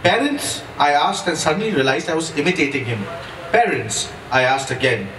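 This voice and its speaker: A man's voice: fairly high pitch, animated, fast, a bit bright. A man giving a dramatic first-person reading from a novel at a book launch. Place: indoor event room at a book launch, miked from a distance. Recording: distant echoey room, clear background noise.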